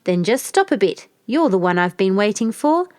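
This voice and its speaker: A woman voicing a great, bad-tempered male troll in a storybook, with a loud, blustering shout. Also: mid-range voice, sing-song, normal speed, dry recording, studio quality, lightly acted. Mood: enraged. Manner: menacing, blustering. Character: great terrible grey male troll, bellowing bully.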